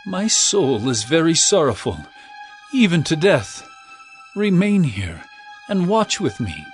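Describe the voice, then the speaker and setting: A solemn male prophet and teacher in a scripture audio drama. His delivery is earnest and weighty, with an expressive, wide-moving pitch over soft background music. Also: slow pace. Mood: sorrowful pleading.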